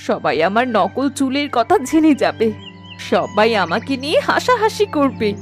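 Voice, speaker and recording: A woman's voice: a little high, huge pitch swings, normal speed, soft background music. A woman playing a vain, cruel, imperious queen in a fairy tale cartoon. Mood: bitter, distressed. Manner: imperious, commanding.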